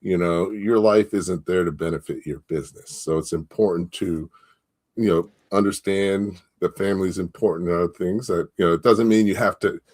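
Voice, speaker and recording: Low-voiced encouraging male host. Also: fairly even intonation, moderate pace, a little mellow. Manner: encouraging, many "you know" fillers. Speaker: interview host. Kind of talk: casual interview.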